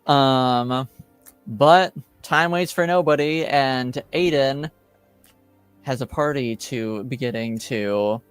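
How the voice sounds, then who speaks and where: A man hosting as game master, giving a casual recap of a tabletop game in a measured, halting delivery. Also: mid-range voice, clear, resonant tone, no room sound, soft background music. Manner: measured, halting, pausing between phrases.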